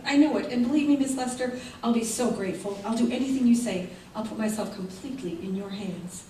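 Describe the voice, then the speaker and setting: Woman acting pleading wife, highish voice, radio drama, distant noisy stage recording. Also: fairly quick, warm tone, natural voice. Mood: eager, pleading. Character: distraught, pleading neglected wife, eager to please. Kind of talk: old-time radio theater acting. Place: theater stage, recorded from the audience. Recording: echoey theater, distant mic, noisy.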